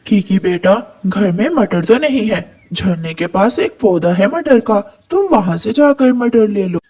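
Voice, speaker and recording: A kindly mother bird from a children's cartoon , played by a woman. She speaks slowly and with lots of melodic movement, like a patient auntie talking to little ones. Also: mid-range voice, lively intonation, mellow, breathy edge. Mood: motherly. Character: kindly adult female birds, motherly aunties. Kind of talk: children's cartoon story character voices.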